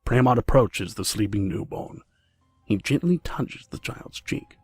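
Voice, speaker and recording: A man narrating a scripted fantasy audio drama as a measured storyteller. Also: on the low side, lively intonation, slightly rough, no room sound. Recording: music under the voice.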